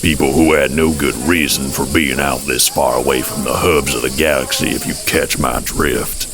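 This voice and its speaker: Very deep, gravelly alien. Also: male voice, steady tone, music in the background. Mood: grave, knowing. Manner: folksy drawl, sardonic, unhurried. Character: gruff, sardonic amphibious alien man. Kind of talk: audio drama dialogue.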